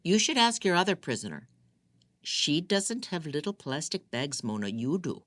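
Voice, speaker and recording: A woman's voice: low-pitched, very animated, a little slow, no room sound, pitched above the performer's own voice, exaggerated voice. A woman reading an audiobook, voicing an angry, controlling male captor who speaks harshly. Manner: harsh, demanding.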